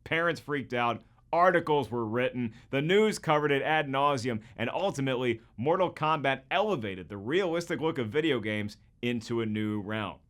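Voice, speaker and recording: A man's voice: medium pitch, lively intonation, normal speed, few pauses, a bit bright. A man in his forties with an American accent, hosting with an emphatic, jokey, scripted comedic narration. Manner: emphatic, wry, jokey delivery. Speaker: host.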